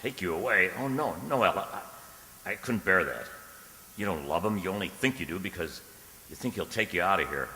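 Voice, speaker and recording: A man's voice: low-pitched, expressive, fairly quick, pushed and bright, a little hiss or hum. A man acting an anxious, lovelorn man in a radio drama, earnest and pleading, with hesitant, stammering speech. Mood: anguished pleading. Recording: long hall reverb, music underneath.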